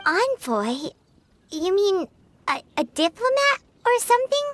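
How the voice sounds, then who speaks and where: A woman's voice: very high voice, big pitch swings, a little slow, dry recording, soft background music, exaggerated voice. A woman voicing a tiny, cheeky, childlike fairy companion in an anime-style video game, bubbly and blunt. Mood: curious, cheerful. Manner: childlike, refers to self in third person.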